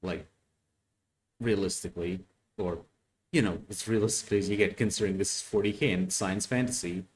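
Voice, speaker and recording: A man's voice: deep voice, fairly even intonation, a little fast, pauses often, slight background noise, poor audio. A man giving casual, unscripted reaction commentary as a host, stammering and repeating words as he goes.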